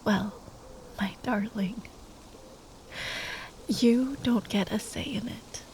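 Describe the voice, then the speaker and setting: A woman playing a grieving, tender young sorceress at a bedside in an intimate ASMR roleplay, speaking in a hushed, halting way that often slips into whispers. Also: mid-range voice, a little hiss or hum, rough recording. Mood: tender resolve.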